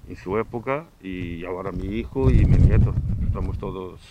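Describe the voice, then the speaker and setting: A man's voice: low voice, normal speed, lo-fi sound, very noisy. A man in his sixties from Portugal, a circus presenter, giving earnest interview answers and often repeating himself.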